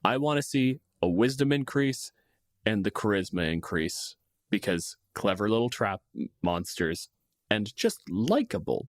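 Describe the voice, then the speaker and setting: A man's voice: lowish voice, sing-song, a little slow, no echo, studio quality. A man co-hosting a podcast in casual discussion of tabletop games, opinionated and freely swearing. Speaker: podcast co-host.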